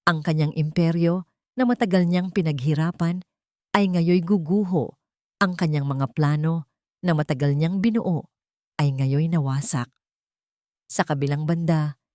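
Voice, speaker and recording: A woman with a Filipino accent narrating a scripted dramatic story in solemn, measured phrases. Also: fairly low pitch, warm tone, no echo, clean studio sound. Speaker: audiobook narrator.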